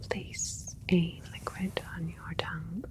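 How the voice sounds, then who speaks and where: A woman playing a calm, clinical alien scientist in a sci-fi ASMR roleplay, speaking in a soft, breathy whisper with a gentle, methodical manner. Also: fairly low pitch, takes it slowly. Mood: attentive, clinical. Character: calm, clinical alien scientist doctor examining their creation.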